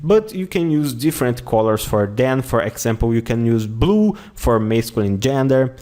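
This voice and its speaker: A man with a Brazilian accent teaching an online lesson, going slowly, repeating words and often checking with "okay?". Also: mid-range voice, lively intonation, dark tone. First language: Portuguese. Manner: slow, repeats words and phrases often, says "okay?" a lot. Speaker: language teacher.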